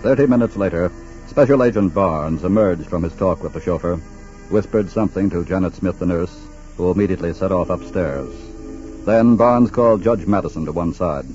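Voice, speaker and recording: A low-pitched, sober crime-drama narrator voiced by a man tells the case in formal, measured 1940s radio style. Music plays beneath, and the old recording is muffled. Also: darkish tone, close to an everyday voice. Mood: sober, dramatic.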